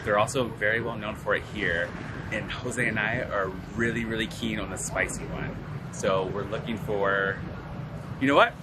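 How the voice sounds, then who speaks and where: A man's voice: fairly low pitch, moderate pace, noisy background. A man in his thirties chatting playfully as a travel vlogger, filming himself at arm's length outdoors and in a car. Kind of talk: casual travel vlog. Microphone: handheld camera at arm's length.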